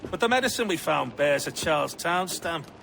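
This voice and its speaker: An actor playing a dutiful pirate crewman in a video game, with a very gravelly, creaky voice. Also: fairly high pitch, expressive, normal speed, noisy background. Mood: businesslike. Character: dutiful pirate crewman reporting to his captain. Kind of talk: cinematic video game acting.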